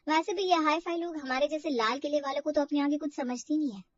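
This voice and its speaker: Woman voicing a fretful, high-voiced young woman. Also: steady tone, fast talker, dry recording, recorded from a distance, muffled recording, close to an everyday voice. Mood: anxious brooding. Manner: fretful, defensive. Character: insecure, self-doubting young woman from Old Delhi.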